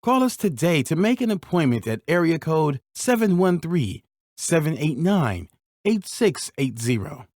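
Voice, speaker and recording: A man reading sponsor ads for a podcast in a smooth promotional delivery. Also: fairly high pitch, sing-song, measured pace, darkish tone, dry recording, studio quality. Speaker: announcer. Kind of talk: scripted ad read.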